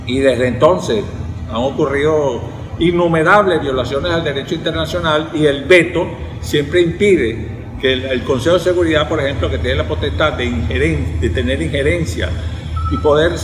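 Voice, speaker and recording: A man's voice: a little high, moderate pace, noisy background. A Venezuelan man speaking as a professor on a panel, explaining and rephrasing as he goes. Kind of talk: spontaneous academic panel talk. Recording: echoey hall with long reverb.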